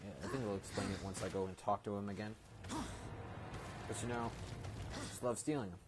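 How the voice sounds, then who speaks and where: A man's voice: lowish voice, moderate pace. A man giving casual, rambling let's play commentary, explaining the game informally as he goes. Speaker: gaming video host. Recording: noisy home recording with game ambience.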